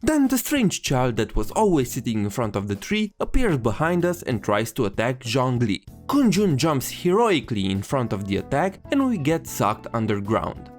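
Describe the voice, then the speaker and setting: Animated narrator with swooping pitch, music behind. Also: normal speed, hardly any pauses. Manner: irreverent, sarcastic storytelling. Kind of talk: comedic scripted game story recap narration.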